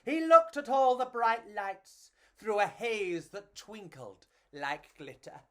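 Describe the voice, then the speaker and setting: A woman's voice: medium pitch, lively intonation, slow pace, fairly bright tone. A woman reading and chatting to children as a storyteller, in a theatrical, gushing and affectionate way.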